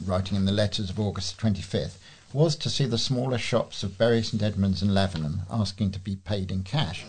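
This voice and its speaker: A man's voice: on the low side, a little mellow, breathy edge. A British man reading readers' letters aloud as a volunteer newsreader.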